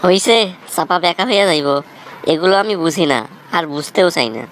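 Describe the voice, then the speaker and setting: A man's voice: high-pitched, a little fast, fairly bright tone. A man playing a cocky, theatrical young jilted village lover in a comic cartoon, with a Bangladeshi accent. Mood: indignant. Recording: noticeable background noise.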